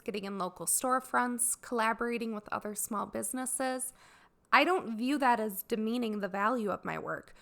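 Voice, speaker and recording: A woman hosting a solo podcast for artists, sounding raspy and dry as she gets over a cold, talking in an earnest, explanatory way. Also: fairly high pitch, a bit bright. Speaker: podcast host.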